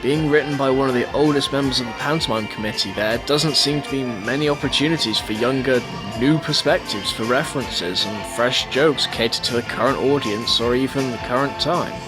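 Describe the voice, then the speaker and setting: A man's voice: mid-range voice, brisk, few pauses, music in the background, rough recording. A young adult man, narrating a documentary from a script.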